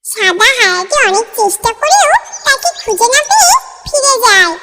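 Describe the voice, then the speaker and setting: High-pitched children's cartoon narrator. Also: big pitch swings, normal speed, background noise. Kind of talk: scripted children's story narration.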